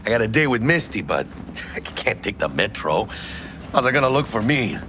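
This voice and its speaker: Deep, animated Mexican-American street mercenary. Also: male voice, moderate pace, slight background noise. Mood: friendly, easygoing. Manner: slangy, peppering speech with Spanish. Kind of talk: cinematic video game acting. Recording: loud music behind the voice.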